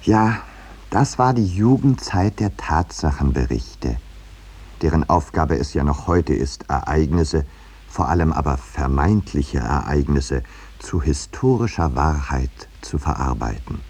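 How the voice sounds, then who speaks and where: Radio play lead: urbane middle-aged painter-narrator, low register, wry, self-important, measured delivery. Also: male voice, expressive, darkish tone, breathy edge, heavily acted. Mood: dry irony. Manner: measured, ironic, slightly pompous. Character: landscape painter Eduard Merlin, urbane wry storyteller, looking back.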